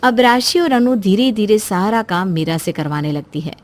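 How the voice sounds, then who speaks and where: A woman's voice: medium pitch, expressive, moderate pace. A woman storyteller reading a scripted moral story as narration.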